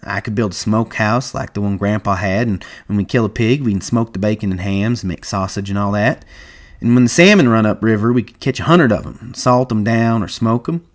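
A man's voice: lowish voice, fairly quick, lightly acted. A man voicing a shrewd, folksy ranch hand with plain rustic American speech in an audiobook story. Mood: warm, dreamy.

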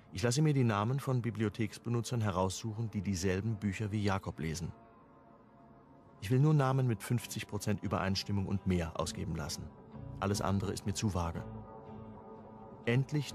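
An actor playing a hunted, wry amateur sleuth, narrating and acting in a crime radio play in a clipped, brooding way. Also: male voice, a little mellow, natural voice. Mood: determined. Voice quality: low, husky. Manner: clipped, brooding, first-person telling.

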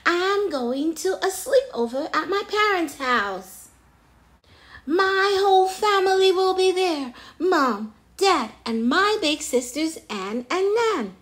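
Woman acting out a young male ape, storybook character, high voice, animated swings, excitable, grumpy, whiny. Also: slow, full character voice. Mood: excited. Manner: exclaiming, whiny.

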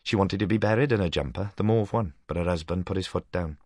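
A man's voice: low voice, fast talker, darkish tone, no room sound, clean studio sound. A man voicing a chatty, affectionate Welsh housewife in a storybook reading, gossiping fondly. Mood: fond gossipy. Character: chatty, fond Welsh housewife reminiscing over family.

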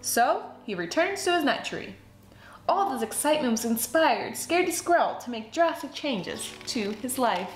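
Animated high-voiced woman reading a storybook. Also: moderate pace. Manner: animated, dramatic emphasis for young listeners. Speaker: children's book read-aloud host. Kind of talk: expressive storybook read-aloud. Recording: roomy echo with music behind. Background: music.

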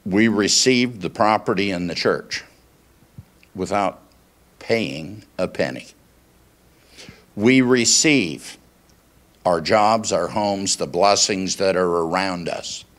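A man in his sixties preaching conversationally, in deliberate phrases, putting questions to his congregation into a handheld microphone. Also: low-pitched, a bit bright. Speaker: pastor. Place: small church meeting room, indoors. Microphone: handheld microphone held at chest.

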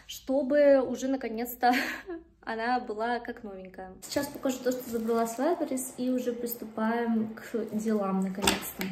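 A woman's voice: fairly high pitch, a little mellow. A woman in her twenties talking casually to her phone as a manicurist vlogger, chatty and a little self-deprecating. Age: 20s. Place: small indoor kitchen and studio rooms. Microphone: phone at arm's length. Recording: clear background room noise.

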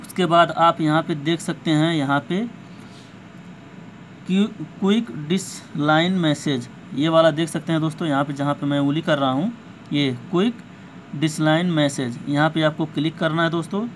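A man with a slightly high voice walks viewers through phone settings step by step. His delivery is informal and repetitive, with some hesitation as he goes. It is an amateur recording with faint noise behind him. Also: normal speed. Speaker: tech tutorial host.

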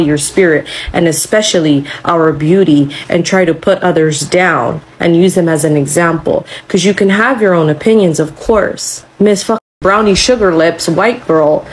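A woman's voice: lowish voice, husky, rough recording. A young woman giving a blunt, scolding, sweary response to camera as a social media creator. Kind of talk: direct-to-camera video response.

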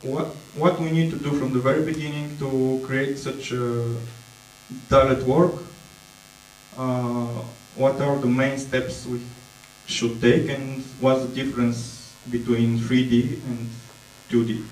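A Bulgarian man in his thirties giving a conference presentation in English, hesitant and pausing to search for words. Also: medium pitch, little pitch movement, darkish tone. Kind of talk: slow conference talk with slides. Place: dark auditorium stage. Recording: echoey hall, distant mic, mains hum.

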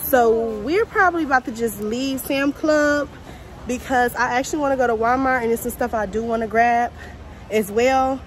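High-voiced American woman vlogging casually. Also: normal speed, poor audio. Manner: informal, conversational, addresses viewers directly. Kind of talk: casual shopping vlog chat. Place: inside a large warehouse store. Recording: faint store ambience noise.

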